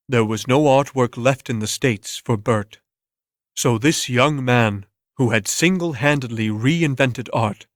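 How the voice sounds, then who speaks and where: Male narrator for a dramatic story reading, mid-range pitch, dry studio-like sound. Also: no echo.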